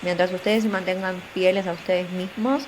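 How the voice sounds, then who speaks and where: A woman's voice: fairly low pitch, steady tone, moderate pace, slight background noise. An earnest young woman from Argentina with a Buenos Aires accent, giving encouraging advice as an interview guest over a video call. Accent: Buenos Aires Spanish. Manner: earnest, encouraging, repeats phrases for emphasis. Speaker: interview guest, career coach. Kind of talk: motivational interview talk.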